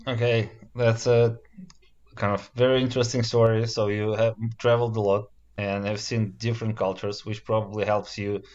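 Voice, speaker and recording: Russian native guy hosting, smooth lowish voice, kinda muffled lo-fi audio. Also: flat delivery, moderate pace, darkish tone. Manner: careful, polite, hesitant phrasing. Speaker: podcast host. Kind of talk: hosting an interview. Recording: dull lo-fi recording.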